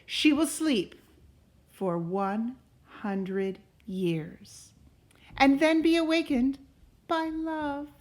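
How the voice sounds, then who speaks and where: A woman's voice: high-pitched, very slow, long pauses, pitched above the performer's own voice. A woman voicing a kind, reassuring fairy godmother from a storybook, with earnest delivery.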